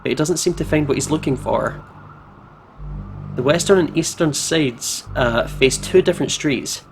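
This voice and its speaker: A man's voice: a little high, normal speed. A man hosting a visual novel playthrough, reading the narration and adding casual commentary. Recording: clear siren and traffic noise behind. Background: game ambience of sirens, vehicles.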